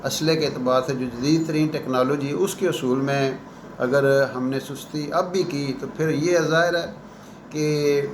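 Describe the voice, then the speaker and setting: Reverberant room, noticeable background noise, male professor, earnest current-affairs commentary, halting unscripted delivery. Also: on the high side, fairly even intonation.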